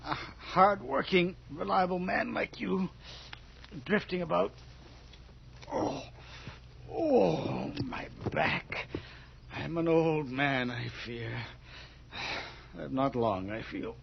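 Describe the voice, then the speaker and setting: An actor playing a weary, brooding old farmer in a radio drama, speaking in a halting, old-fashioned formal way. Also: male voice, a little high, gravelly, full character voice. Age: older. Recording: dull, noisy radio drama recording.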